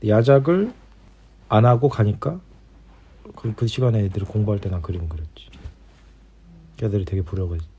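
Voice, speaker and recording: Middle-aged guy rambling along in an easygoing bedtime chat, mellow lowish voice. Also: moderate pace, long pauses, slight background noise. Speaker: podcast host, painter. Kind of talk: casual bedtime chat between spouses. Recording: dull lo-fi home recording.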